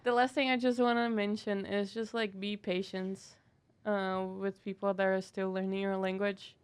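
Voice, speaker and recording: A woman's voice: mid-range voice, normal speed, clean tone. A young woman from Brazil chats easily as a guest, dropping fillers as she goes. Her talk stays loose and conversational. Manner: relaxed, chatty, with frequent fillers. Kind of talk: casual conversation.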